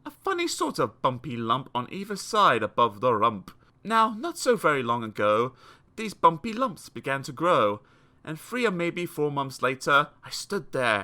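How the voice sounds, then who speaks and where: A man narrating children's verse as an audiobook reader, theatrical, measured and grand. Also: on the high side, sing-song, bright, forceful tone.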